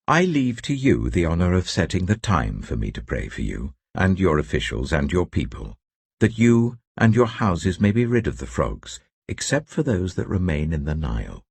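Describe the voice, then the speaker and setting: Very deep, husky man narrating scripture. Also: no echo. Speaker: audio Bible narrator.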